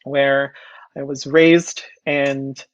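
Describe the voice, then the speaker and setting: Speaker from Hawaii, Hawaiian first language, thoughtful, many pauses, dry sound, dull video-call audio. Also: mid-range voice, little pitch movement, no room sound. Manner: thoughtful, with frequent pauses and restarts. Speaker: choral artist, podcast guest. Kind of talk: reflective interview answer.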